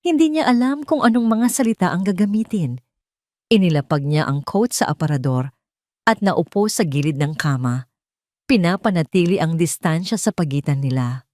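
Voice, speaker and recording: A woman's voice: fairly low pitch, expressive, moderate pace, a little mellow, dry recording, clean studio sound. A woman with a Filipino accent narrating a scripted, dramatic audio story.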